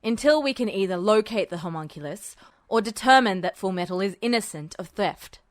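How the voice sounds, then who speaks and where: A woman voicing a gruff, gravelly male major general in a podfic reading, curt and commanding. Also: medium pitch, lively intonation, fairly quick, no echo, studio quality, voice pushed down, close to an everyday voice. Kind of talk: podfic reading of fan fiction.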